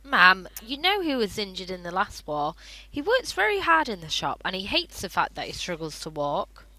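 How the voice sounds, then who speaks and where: A woman's voice: medium pitch, sing-song, moderate pace, bright, forceful tone, no echo, heavily acted, low-quality recording. A female actor playing an earnest, curious young granddaughter in an amateur wartime radio drama. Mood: earnest, indignant.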